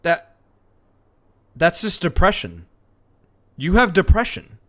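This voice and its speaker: A man's voice: sits high, wildly swooping pitch, takes it slowly, fairly bright tone. A man doing casual, joking game commentary as a streamer, sweary and mock-dramatic.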